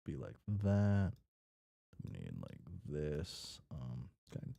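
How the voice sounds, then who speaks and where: A man's voice: extremely low pitch, big pitch swings, slow, creaky, mellow, no room sound, clean studio sound. A man casually chatting and reading aloud in an offhand way, sometimes stumbling over words as the host of a read-along stream. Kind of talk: casual chat while drawing and reading a story aloud.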